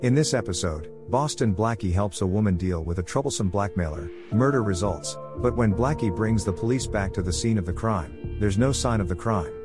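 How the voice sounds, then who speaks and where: Low-voiced man introducing over music. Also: lively intonation, a little fast, hardly any pauses. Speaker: podcast host. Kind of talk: scripted introduction narration.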